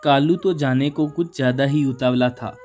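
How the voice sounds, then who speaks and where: A man's voice: medium pitch, moderate pace, a little mellow. A man narrating a scripted children's animal story as its storyteller. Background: music.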